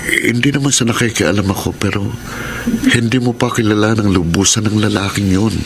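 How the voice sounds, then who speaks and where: A man's voice: on the low side, fairly even intonation, a little fast, lightly acted. A man acting an earnest, worried young suitor in a radio drama, speaking with a Filipino accent. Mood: concerned. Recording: clearly noisy radio drama audio.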